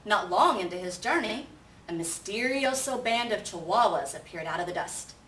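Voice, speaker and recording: A woman reads a picture book aloud with lively, varied intonation, putting questions to children as she goes. She is recorded at some distance in a roomy space, with faint noise. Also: mid-range voice, moderate pace. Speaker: storybook reader. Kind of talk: animated children's read-aloud with questions to listeners.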